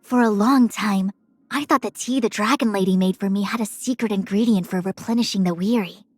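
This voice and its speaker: A woman's voice: medium pitch, brisk, a little mellow, lightly acted. A woman playing a proud young female diviner in a video game, speaking in composed, formal, lofty phrases. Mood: wry, exasperated. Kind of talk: scripted game character voice lines. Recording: studio-dry voice over music. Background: music.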